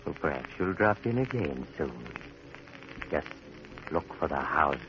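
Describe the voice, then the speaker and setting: An actor playing a sinister, wry doctor who narrates macabre tales in theatrical 1940s radio style. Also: male voice, a bass voice, big pitch swings, measured pace, exaggerated voice. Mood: wry, ominous. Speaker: narrator, host. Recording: muffled, very noisy 1940s radio recording.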